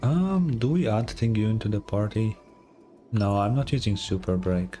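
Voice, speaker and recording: A man's voice: lowish voice, normal speed, mellow, soft background music. A man doing casual, sweary commentary as he streams a game, sometimes reading its text aloud. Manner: sweary, offhand.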